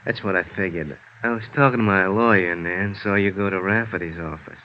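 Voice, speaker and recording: A man's voice: sits low, fast, lightly acted. A man acting a sly, menacing American ex-convict in a 1950s radio crime drama, insinuating and smug. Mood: sly, coaxing. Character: sly, menacing male ex-convict murder suspect. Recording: muffled, hissy vintage radio recording.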